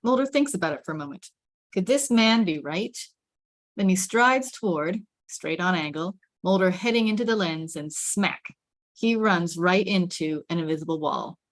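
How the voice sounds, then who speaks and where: A woman narrating the scene and camera directions of a script at a table read. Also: lowish voice. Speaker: stage directions reader.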